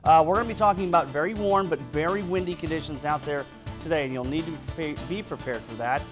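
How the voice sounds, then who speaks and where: A man's voice: on the high side, fast, over music. An American man, a TV weather forecaster, chatting casually on air and introducing the forecast. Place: TV news studio.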